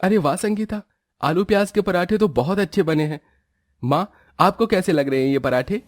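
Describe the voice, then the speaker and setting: A man voicing a kind young husband who speaks gently and reassuringly. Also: high-pitched, moderate pace, dry recording, studio quality, lightly acted. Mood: cheerful.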